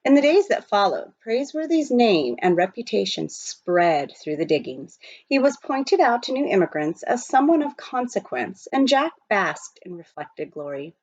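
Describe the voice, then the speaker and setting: Animated woman reading a story aloud, slightly dull. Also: medium pitch, moderate pace, hardly any pauses, no echo. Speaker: reader of a children's novel. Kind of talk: expressive read-aloud storytelling.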